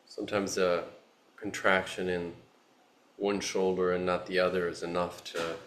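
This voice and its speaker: A man leading a guided meditation as a teacher, speaking in a soothing, unhurried manner with long pauses. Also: low-pitched, flat delivery, a bit bright, a little hiss or hum. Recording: roomy, echoing space.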